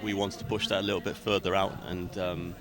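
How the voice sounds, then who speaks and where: A man, a musician, answering interview questions in a relaxed, wry way. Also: sits low, brisk, vocal fry. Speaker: musician guest. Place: festival backstage. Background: loud music.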